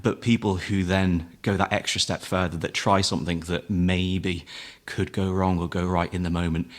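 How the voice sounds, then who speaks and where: A man who is a musical director, explaining audition advice in a measured, articulate way as an interview guest. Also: sits low, little pitch movement.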